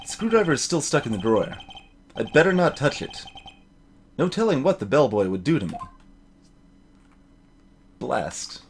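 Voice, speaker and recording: A man giving casual let's play commentary and reading dialogue, haltingly thinking aloud. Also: medium pitch, very animated, slight background noise. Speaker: let's player voicing game characters. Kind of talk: casual gameplay commentary mixed with read dialogue.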